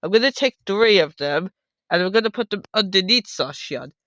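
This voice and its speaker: A man hosting a casual, unscripted how-to video, talking loudly and rambling as he corrects himself. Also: high-pitched, expressive, moderate pace, no echo. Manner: loud, rambling, self-correcting. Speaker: host of a card game tutorial video. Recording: dull, lo-fi sound.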